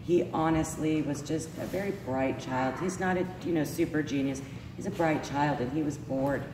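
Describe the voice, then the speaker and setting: A woman's voice: low-pitched, normal speed. A woman, stuffy from allergies and a little sore-voiced, giving an earnest parent testimonial with many ums. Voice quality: slightly sore voice. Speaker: parent speaking at a school event. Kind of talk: personal testimonial speech. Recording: echoey hall, speaker far from mic, noisy.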